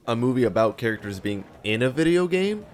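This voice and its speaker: Male video essay host, conversational and sarcastic, average pitch, light music bed behind. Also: sing-song, a little fast. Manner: sarcastic, rhetorical questions answered by himself. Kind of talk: scripted narration in a casual, conversational video essay.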